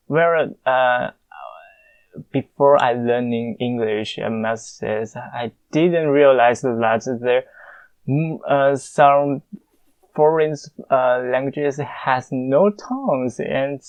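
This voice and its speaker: A young man with a Mandarin Chinese accent, a native Mandarin speaker, answering listener questions in a casual, halting monologue full of filler pauses. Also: mid-range voice, clean tone, no room sound, highs cut off.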